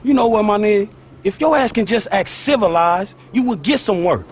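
Exasperated young street hustler, high-pitched. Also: male voice, lively intonation, normal speed, slight background noise. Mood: frustrated. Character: young street-smart Los Angeles hustler, defensive and exasperated. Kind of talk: video game cutscene acting.

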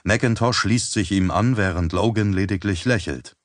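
A man reading an audiobook as narrator in a measured, even storytelling manner on a close studio mic. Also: on the low side, no room sound. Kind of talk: scripted narration.

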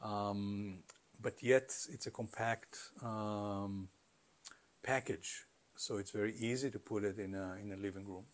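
A middle-aged man giving conversational, explanatory interview answers in a halting way, as a company founder. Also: lowish voice, steady tone. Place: carpeted listening room, indoors.

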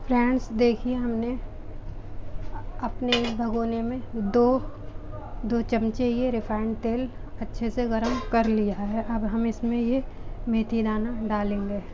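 A woman with a fairly high voice talks viewers through a recipe step by step, keeping her tone level and calm. She speaks from her home kitchen into a phone, and the sound is muffled and lo-fi. A faint room hum sits behind her. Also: takes it slowly. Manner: addresses viewers as friends, calm explaining. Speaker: home cooking channel host. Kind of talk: step-by-step cooking instructions.

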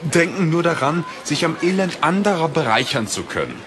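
A man playing a calm, mysterious young mage who speaks politely and formally in a fantasy audio drama. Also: a little high, fairly quick, background noise. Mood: calm, grave.

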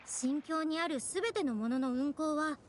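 A woman's voice: high-pitched, normal speed, a little hiss or hum, natural voice. A woman voicing a polite, apologetic young female guide and cheerful mascot in an anime game, explaining things earnestly. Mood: explaining, reassuring.

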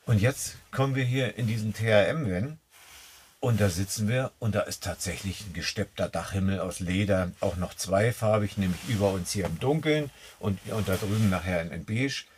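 A man co-hosting a camping vlog, chatting in a relaxed way from inside a camper van. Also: on the low side, a little hiss or hum. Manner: relaxed, talks in drawn-out asides with tag questions. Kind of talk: casual chat.

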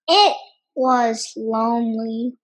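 A storybook reader giving an expressive, deliberate read-aloud of a children's picture book. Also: mid-range voice. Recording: music bed under the voice. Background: music.

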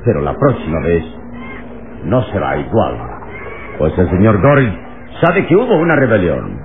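An actor playing a calm, authoritative heroic leader in an old radio drama, speaking firmly and deliberately. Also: male voice, deep voice, full character voice. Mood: calm, resolute. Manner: firm, commanding, deliberate. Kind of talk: vintage radio drama acting. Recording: tinny, noisy old radio recording.

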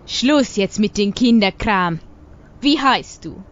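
Woman playing a slow, menacing villainess. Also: mid-range voice, expressive, highs cut off, slight background noise. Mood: mocking, menacing. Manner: condescending, menacing, drawn-out delivery. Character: cold, mocking villainess, ringleader holding children captive. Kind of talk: radio drama acting.

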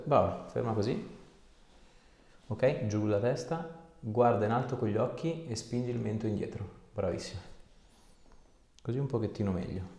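A man's voice: fairly low pitch, gravelly, dark tone. A man, working as an osteopath and giving short, informal instructions and questions during a hands-on consultation. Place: small clinic treatment room, indoors. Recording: echoey small treatment room.

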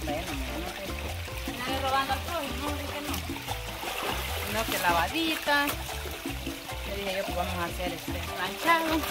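A woman plays a poor, hardworking, hopeful washerwoman in a homespun drama. She rambles, recorded outdoors with loud music. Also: high voice. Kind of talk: rambling monologue while working. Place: outdoors at a rocky stream.

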